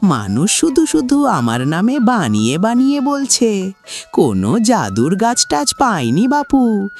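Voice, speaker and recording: Woman playing a cagey village farmer in a cartoon, slow rustic scolding, faint music. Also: mid-range voice, wildly swooping pitch, a little mellow. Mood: dismissive. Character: secretive, dismissive village farmer fending off questions. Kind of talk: animated cartoon story acting.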